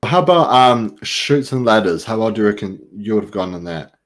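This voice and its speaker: A young Australian man with a low-pitched voice hosts a casual podcast. He is laid-back and slangy, calling his guest "bro" and asking how far they "reckon" they'd get. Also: animated, a little fast. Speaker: podcast host.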